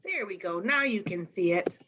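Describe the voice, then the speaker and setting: A woman's voice: mid-range voice, expressive, moderate pace, highs cut off, background noise. A woman reading a picture book aloud to young children, expressively and addressing them directly with questions. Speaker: storytime reader.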